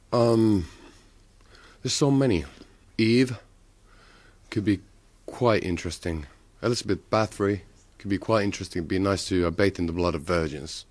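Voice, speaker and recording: A man with a low voice reflects in an interview. He speaks haltingly, musing through long pauses, and the sound is dry and close. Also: a little mellow, no room sound.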